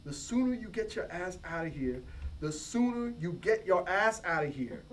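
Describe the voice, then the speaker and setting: A man's voice: sits high, lively intonation, normal speed, a bit bright. A man voicing a big, blunt, weary laid-off buddy in a slangy, scolding way during a novel reading. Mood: weary, impatient. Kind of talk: novel read aloud. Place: bookstore reading. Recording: distant mic, faint background noise.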